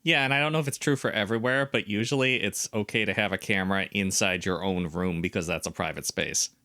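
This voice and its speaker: A man's voice: lowish voice, fast talker, pushed and bright, no echo, clean studio sound. A man co-hosting a podcast, reading comments aloud and asking pointed clarifying questions in casual chat. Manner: inquisitive, asks clarifying questions, opinionated. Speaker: podcast co-host.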